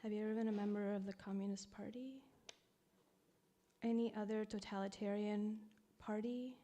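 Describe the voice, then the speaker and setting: A woman in her thirties performing poetry at a live reading, mixing English and Spanish, swinging between hushed whispers and loud cries. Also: medium pitch, fairly even intonation, normal speed. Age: 30s. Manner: dramatic, shifting from whispers to screams. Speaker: poet reading at a live event.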